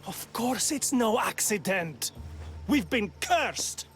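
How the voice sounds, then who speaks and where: An actor playing a brusque, self-important village magistrate in a video game set in ancient Greece, haughty, scornful and threatening. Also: male voice, high-pitched, big pitch swings, slow pace, fairly bright tone, exaggerated voice. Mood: defensive, curt. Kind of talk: dramatic video game acting. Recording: game cutscene with music behind.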